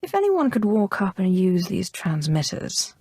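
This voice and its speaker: An imperious female Sith inquisitor from a video game, speaks in a fairly low register. She is cool, calculating and haughty, giving formal, measured lines like someone used to command. The sound is very dry, with no room on it. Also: sing-song, darkish tone, husky, no room sound, heavily acted. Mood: commanding, disdainful. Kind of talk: scripted video game character dialogue.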